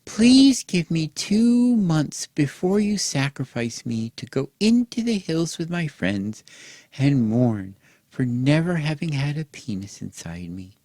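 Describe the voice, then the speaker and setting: Man voicing a meek, high-pitched storybook daughter. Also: sing-song, slow, warm tone, dry recording, full character voice. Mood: meek, sad. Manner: meek, innocent, childlike. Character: obedient, wistful young daughter in a Bible storybook.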